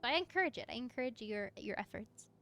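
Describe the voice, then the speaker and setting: A woman chatting casually on a livestream as she plays, answering viewers in an expressive, encouraging, effusive way with a mezzo voice. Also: fairly high pitch, fast talker. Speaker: VTuber live streamer. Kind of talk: casual livestream chat while gaming, answering viewer comments.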